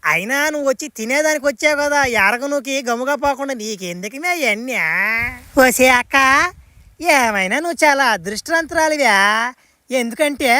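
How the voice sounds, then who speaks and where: A woman voicing a domineering, sharp-tongued old village woman in a comic cartoon, with a rural Telugu dialect and a coarse, mocking manner. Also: sits high, no room sound, studio quality.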